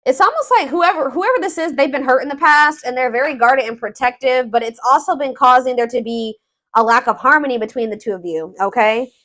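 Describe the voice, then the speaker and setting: A woman with a fairly high voice talks through a tarot reading in a casual, unscripted way. She muses aloud, feeling for the right words and circling back to rephrase what she has just said. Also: fairly quick, sharp tone. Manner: hesitant, musing, repeats and rephrases herself. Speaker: tarot reader, host.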